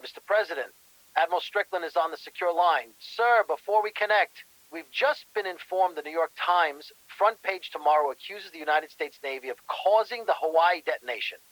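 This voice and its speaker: A male actor plays a formal presidential aide in an audio drama. His voice sits a little high and sounds dry. He briefs in a dutiful, businesslike way. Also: fairly quick, a bit bright, no echo, lightly acted, low-quality recording.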